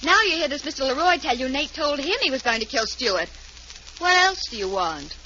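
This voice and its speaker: A defiant young woman accused of murder in a vintage radio mystery. Her high voice is forceful and indignant, captured on a muffled, hissy old broadcast. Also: expressive, a little fast. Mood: defiant, agitated. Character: defiant, cornered young murderess insisting on self-defense. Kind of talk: old-time radio drama acting.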